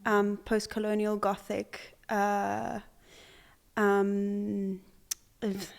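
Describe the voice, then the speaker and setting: A young woman from Zimbabwe talks as an artist being interviewed. She sounds hesitant and thoughtful, pausing often and restarting sentences. Her pitch sits in the middle range. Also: completely flat delivery, vocal fry. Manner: hesitant, many fillers and restarts. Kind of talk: conversational interview.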